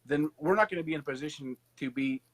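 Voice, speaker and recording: A man's voice: fairly high pitch, fast. A man interviewing a guest in a conversational interview, emphatic and opinionated.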